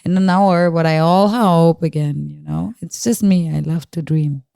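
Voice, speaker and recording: A woman from Turkey, a native Turkish speaker with a low voice, chatting excitedly as a podcast guest and laughing often. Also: moderate pace, vocal fry, no echo, clean studio sound. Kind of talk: casual interview chat.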